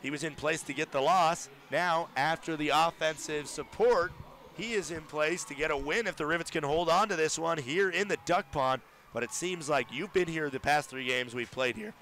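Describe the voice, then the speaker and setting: A young man calling baseball play-by-play on radio from a ballpark booth, getting loud and excited on big plays. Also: fairly high pitch, normal speed, a little hiss or hum. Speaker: play-by-play announcer.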